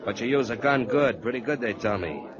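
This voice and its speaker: A man's voice: mid-range voice, expressive, fairly quick, a crowd in the background, natural voice. An actor playing a bossy, impatient gangster with slangy American tough-guy talk in 1940s radio drama style. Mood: sly contempt. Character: rough, scheming male gangster, bossy and impatient. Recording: muffled, very noisy vintage radio recording.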